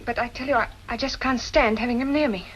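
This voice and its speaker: A woman's voice: a little high, fast talker, muffled recording, natural voice. An actress playing a sly female crook who poses as a shop girl in an old detective drama. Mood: sly, conspiring. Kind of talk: old-time detective film acting. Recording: old soundtrack with background noise.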